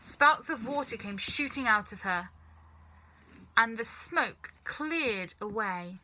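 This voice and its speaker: Bright-voiced woman reading a children's story. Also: a little high, animated, takes it slowly, a little hiss or hum, poor audio. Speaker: storybook reader. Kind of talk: scripted, expressive children's story narration.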